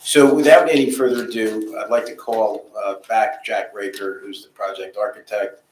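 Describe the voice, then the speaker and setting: A man's voice: lowish voice, steady tone, moderate pace. A man speaking as an attorney before a planning board, formal and dotted with hesitations, recorded in a town hall room. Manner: formal, many uh fillers. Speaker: attorney for an applicant. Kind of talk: formal testimony. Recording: echoey room, distant mic, faint noise.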